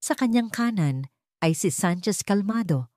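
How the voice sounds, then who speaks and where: A woman with a Filipino accent narrating a scripted dramatic story in a measured, formal way. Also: medium pitch, animated, darkish tone, no echo, studio quality.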